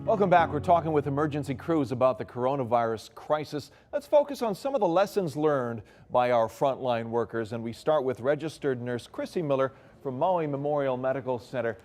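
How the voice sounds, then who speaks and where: A man as a news anchor, asking an interview guest measured, conversational questions. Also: medium pitch, animated, a little mellow, faint music behind.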